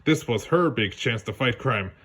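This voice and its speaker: A man narrating a children's read-along story in a lively storytelling manner. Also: mid-range voice, normal speed, slightly rough. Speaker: storybook reader.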